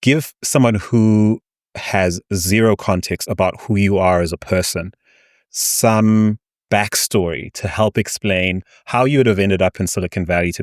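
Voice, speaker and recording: A man's voice: deep voice, no echo, clean studio sound. A man hosting a podcast interview, asking long, thoughtful, winding questions. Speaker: podcast host.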